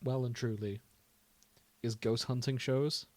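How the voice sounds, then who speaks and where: A man's voice: mid-range voice, steady tone, a little slow, a little mellow, no echo. A British man co-hosting a podcast, chatting casually about fan fiction. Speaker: podcast co-host.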